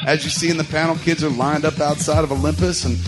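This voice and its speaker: A man's voice: fairly high pitch, flat delivery, fast talker, background noise. A man, a podcast host, casually talking through a comic book. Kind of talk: casual comic-book read-through with sound-effect words.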